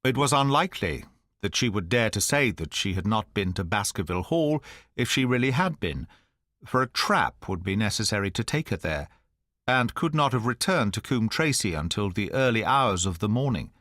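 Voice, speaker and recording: A man narrating an audiobook in the first person, at a measured, even storytelling pace. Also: lowish voice, dry recording, studio quality.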